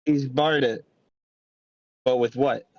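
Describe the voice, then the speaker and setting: Man playing a slow, courtly henchman, muffled audio. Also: medium pitch, steady tone, dry recording. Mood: deferential. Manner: formal, poetic diction. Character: courtly, florid male town crier turned henchman. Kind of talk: amateur script table read.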